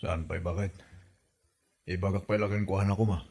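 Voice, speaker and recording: A man's voice: low voice, steady tone, fairly quick, darkish tone, soft background music. A man with an Ilocano accent playing a hesitant, protesting husband caught between wife and mother in a comedy drama. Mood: reluctant.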